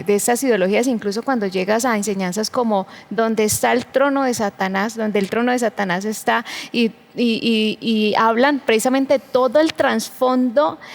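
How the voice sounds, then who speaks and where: A Colombian-accented woman hosts a church conversation show, encouraging her guest with short affirmations. She often stumbles and repeats words as she builds a question. Her voice sits fairly high. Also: gravelly. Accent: Colombian Spanish. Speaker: church program host. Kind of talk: faith conversation interview.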